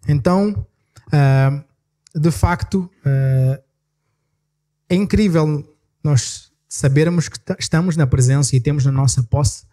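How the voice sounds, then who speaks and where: Man, Portuguese accent, pastor; high-ish voice, slow emphatic verse reading, quick asides. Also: warm tone. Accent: European Portuguese. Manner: reads verses slowly with rising emphasis, then talks quickly between passages; self-correcting, apologetic asides. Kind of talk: sermon with scripture reading.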